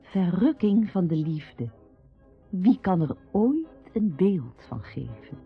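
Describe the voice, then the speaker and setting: Slow, measured male narrator. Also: high-pitched, very animated, vocal fry. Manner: measured, storytelling. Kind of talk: literary radio drama narration. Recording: muffled radio sound, faint music.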